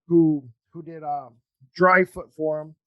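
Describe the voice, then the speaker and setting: Man, higher-pitched voice, podcast host, casual, halting and rambling, close dry sound. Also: level tone, no room sound, lo-fi sound. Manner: halting, rambling delivery with false starts. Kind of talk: casual interview chat.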